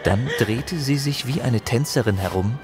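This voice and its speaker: A man's voice: mid-range voice, moderate pace, music in the background. A man narrating a fantasy audio drama as a dramatic scripted storyteller.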